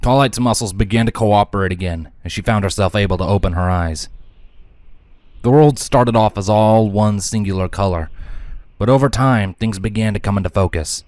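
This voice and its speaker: A man's voice: fairly low pitch, normal speed, darkish tone, dry recording. A man reading scripted fiction aloud as an audiobook-style narrator.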